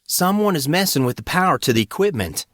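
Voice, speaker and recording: A man voicing a brisk young male student tech whiz in an audiobook, explaining matter-of-factly with some exasperation. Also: fairly high pitch, big pitch swings, a bit bright, no room sound, clean studio sound, voice pushed up. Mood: alert.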